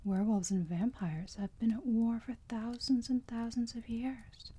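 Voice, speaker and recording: A woman playing a motherly, teasing vampire who soothes a wounded enemy in a slow, breathy whisper, in an ASMR roleplay. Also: fairly high pitch, steady tone, warm tone. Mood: musing. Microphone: close microphone.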